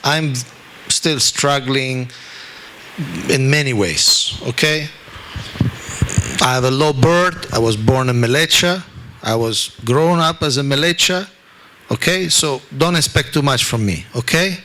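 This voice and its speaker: A man with an Italian accent, speaking English as a second language, teaches a scripture class with lively, self-deprecating asides. His voice is bright and forceful. A faint hum of room noise sits behind him. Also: mid-range voice, unhurried, pauses often, rough recording. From Italy. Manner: animated, self-deprecating, frequent "okay?" asides. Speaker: spiritual teacher.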